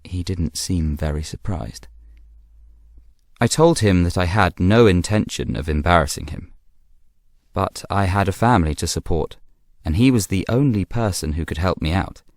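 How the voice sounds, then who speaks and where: A man's voice: deep voice, animated, moderate pace, a little mellow, no echo, studio quality. An actor with a British accent playing a self-assured, scheming young man, confiding his story in a radio drama monologue with arch, knowing asides.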